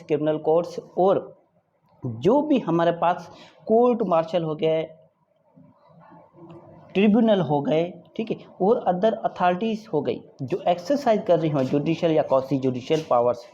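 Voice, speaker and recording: Man, law exam tutor, warm tone, muffled audio, steady explanatory lecture. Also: a little high, slight background noise. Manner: repeats points and checks understanding with "is it clear, dear?"; mixes English legal phrases into explanations.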